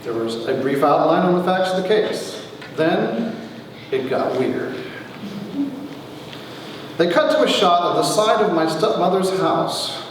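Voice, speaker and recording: A man giving a wry dramatic reading of his own humorous story to an audience, with theatrical pauses. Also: high voice, sing-song. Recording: echoey hall from far off, faint noise.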